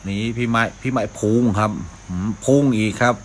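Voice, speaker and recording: A man's voice: medium pitch, steady tone, fairly quick, a little hiss or hum. A man giving casual live commentary as a gaming video host, often talking to himself as he plays. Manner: mouths sound effects, talks to himself while playing.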